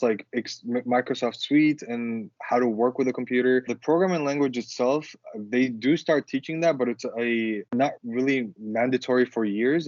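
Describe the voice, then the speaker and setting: A man's voice: mid-range voice, darkish tone, dry recording. A man in his twenties, from Iraq and raised in Jordan, answering interview questions casually in a rambling way with restarts. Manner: rambling, with frequent restarts and laughs. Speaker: guest, computer science student. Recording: slightly dull, lo-fi audio.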